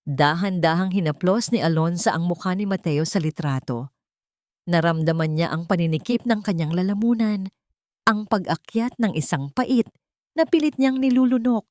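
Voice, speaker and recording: Female narrator for romantic audio drama: lower register, emotive, deliberate delivery, dry studio-like sound. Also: darkish tone, no echo. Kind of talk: dramatic scripted storytelling.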